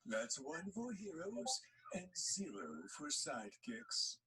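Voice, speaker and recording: A man's voice: high-pitched, very animated, slow, full character voice. A man acting a laid-back superhero dad who referees a game, announcing casually in a scripted audio drama. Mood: matter-of-fact. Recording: clearly noisy amateur recording.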